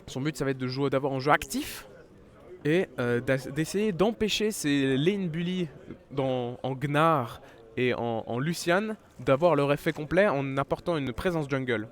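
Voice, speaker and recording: A man's voice: medium pitch, animated, a little slow. A man with a head cold giving live esports commentary, chatty and full of fillers and restarts. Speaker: esports caster.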